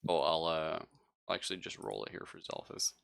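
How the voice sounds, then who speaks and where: A man's voice: deep voice, steady tone, fairly quick, creaky, a bit bright, clear, resonant tone. A man narrating a tabletop roleplaying game as game master, casual and joking as he improvises.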